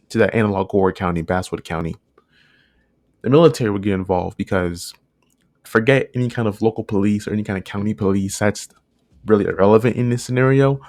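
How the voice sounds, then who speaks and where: Low-voiced man rambling through casual commentary. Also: darkish tone. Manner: rambling, repeats and rephrases himself. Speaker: video creator, narrator.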